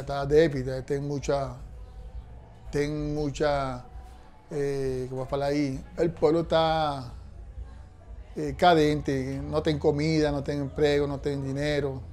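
A man's voice: fairly high pitch, a little mellow. Venezuelan man in his forties, searching words. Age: 40s. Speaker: interviewee. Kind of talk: documentary interview. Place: indoor workshop.

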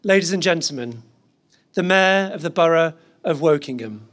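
A man acting as master of ceremonies outdoors, making a formal announcement in a measured, stately manner. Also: a little high, expressive, fairly bright tone. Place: outdoor market place ceremony.

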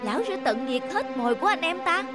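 An actor voicing a cunning, familiar, needling wolf sidekick in an animated fable. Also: very high voice, fast talker. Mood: teasing. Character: cunning, teasing wolf sidekick, informal troublemaker. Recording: loud music behind the voice.

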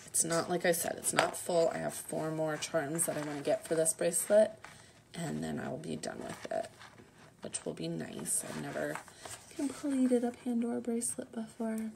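Young woman rambling to camera at home, low voice, lots of fry, noisy recording. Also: big pitch swings, moderate pace. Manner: chatty, rambling, lots of fillers and soft half-whispered asides. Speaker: lifestyle vlogger. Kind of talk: casual unboxing chat to camera. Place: home room, indoors.

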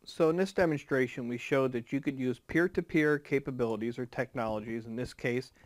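A man's voice: medium pitch, a little fast, no echo. Man narrating a tech tutorial. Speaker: host of amateur radio tutorial channel.

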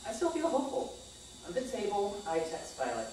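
A woman's voice: medium pitch, huge pitch swings, fairly quick, mellow. A woman in her thirties reading a scripted personal story aloud on a small theater stage. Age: 30s. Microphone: far camera microphone. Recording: echoey distant stage recording, faint noise.

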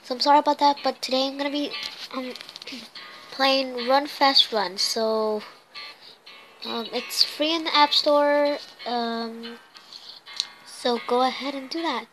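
A young woman who is losing her voice, hoarse and hesitant, chatting with many ums while playing a mobile game. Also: high-pitched, slow, pauses often, music in the background, low-quality recording. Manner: hesitant, full of ums and uhs, apologetic. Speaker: gameplay video host. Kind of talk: casual gameplay commentary.

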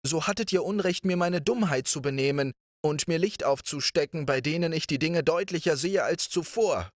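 Man doing a young princess in a storybook, polite but firm, higher voice, dry sound. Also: moderate pace, no room sound, lightly acted. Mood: reasoning. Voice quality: polite, reasoning, raised pitch. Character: proud, clever young princess.

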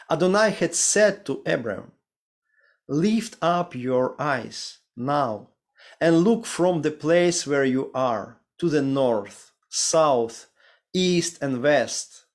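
A man in his thirties with a Ukrainian accent, preaching a sermon and reading scripture slowly and deliberately over a video call from a home study. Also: on the high side. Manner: slow, deliberate reading with careful pauses. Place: home study with bookshelves, indoors. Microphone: video call webcam.

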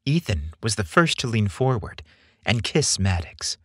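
A man narrating a scripted romance story as a podcast host, in an expressive storytelling style. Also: lowish voice, a little slow, breathy edge, no echo, clean studio sound.

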